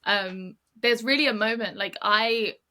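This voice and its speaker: A woman's voice: medium pitch, measured pace, pushed and bright. A young British woman chatting as a podcast guest, filling her talk with "like" a lot. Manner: chatty, fills with "like" often. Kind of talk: casual interview chat.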